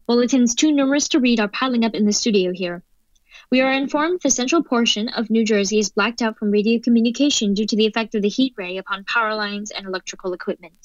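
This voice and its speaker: A woman's voice: fairly high pitch, fast talker, no echo, close to an everyday voice. A woman playing a sober radio news announcer, reading urgent bulletins formally in a radio play. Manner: formal, telegraphic delivery. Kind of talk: scripted radio play newsreading. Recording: dull, dry lo-fi recording.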